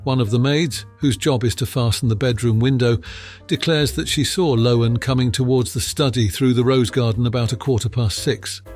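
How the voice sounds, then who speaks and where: A man acts out a confident audiobook police inspector in a middle-pitched voice. He is blunt and matter-of-fact, with everyday colloquial phrasing. Light music runs underneath. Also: steady tone, normal speed, darkish tone, close to an everyday voice. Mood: reporting news. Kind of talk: acted audiobook dialogue.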